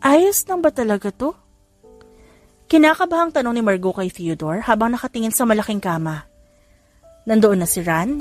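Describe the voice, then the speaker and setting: A woman's voice: medium pitch, darkish tone. A woman reading scripted story narration as an audiobook narrator. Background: faint music.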